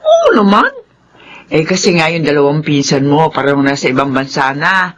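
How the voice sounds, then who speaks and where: An actress playing a talkative, lonely middle-aged aunt in a radio drama, full of exclamations. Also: female voice, normal speed, a little hiss or hum. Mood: wistful. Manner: animated, exclamatory, swooping pitch.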